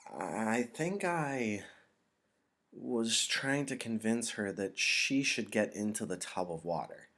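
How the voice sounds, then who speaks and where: A man voicing a young boy in a storybook, halting and earnest as he confides with some embarrassment. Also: fairly low pitch, expressive, pushed and bright, pitched above the performer's own voice, full character voice. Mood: uneasy confessing.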